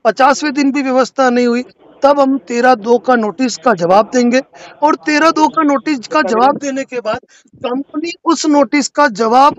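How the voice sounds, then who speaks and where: High-pitched man on a muffled phone call. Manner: persuasive, insistent, repeatedly asking to be heard. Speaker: lawyer advising on a loan default. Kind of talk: explanatory phone conversation. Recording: dull lo-fi phone call recording.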